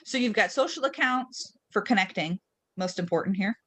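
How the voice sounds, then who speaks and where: A woman's voice: mid-range voice, normal speed, fairly bright tone. A woman training people in an informal, conversational screen-share webinar, explaining each step and posing check-in questions as she goes. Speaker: trainer leading a software webinar. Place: online webinar.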